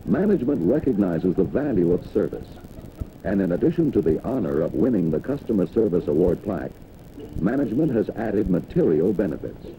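An American man reading scripted narration for a 1960s corporate training film in a polished, measured announcer's delivery. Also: sits low, warm tone, a little hiss or hum. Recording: dull, dated 1960s film soundtrack.

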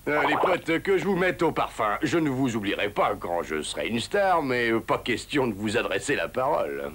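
An actor voicing a boastful, dim cartoon pig playing superhero in a French dub, bragging and excitable. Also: male voice, medium pitch, normal speed. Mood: cocky. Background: loud music.

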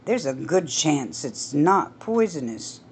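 A woman voicing a calm husband who talks slowly and soothingly to his frightened wife. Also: sits low, a little hiss or hum, heavily acted.

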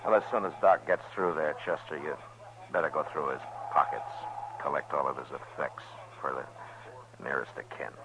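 An actor playing a stern, weary frontier marshal in 1950s radio western style, commanding and grave. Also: male voice, extremely low pitch, fairly even intonation, moderate pace, noisy background. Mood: grim, weary. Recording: dull vintage radio recording with music.

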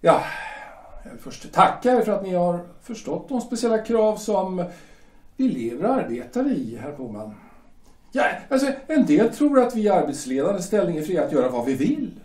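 A man's voice: high voice, very animated, darkish tone. An actor playing a stern male shipyard director who lectures formally, with hesitant fillers, in an audio drama. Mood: formal lecturing. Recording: roomy echo, distant from mic.

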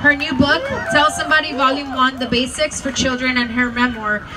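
A woman's voice: sits high, little pitch movement, normal speed. A woman reading introductions of speakers at an outdoor rally. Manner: reads introductions from notes. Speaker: rally emcee. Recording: distant mic with street noise.

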